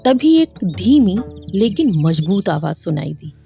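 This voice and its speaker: A woman's voice: fairly low pitch, sing-song, measured pace, vocal fry, over music, heavily acted. A woman narrating a cartoon moral story in a scripted storybook style.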